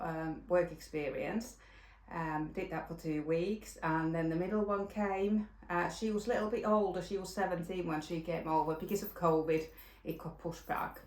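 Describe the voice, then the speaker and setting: A woman's voice: fairly low pitch, roomy sound. A woman in her forties with a Finnish accent, hosting a casual knitting podcast and chatting with lots of fillers and restarts. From Finland. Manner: rambling, with frequent fillers and restarts. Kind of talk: casual chat.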